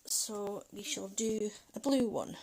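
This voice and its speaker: A woman's voice: mid-range voice, slow, creaky, a little mellow, a little hiss or hum, low-quality recording. Chatty Mancunian woman with a Scottish lilt. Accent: Mancunian English with a Scottish lilt. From England, Manchester. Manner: chatty, rambling, many fillers and restarts. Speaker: livestream host. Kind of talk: casual chat while colouring.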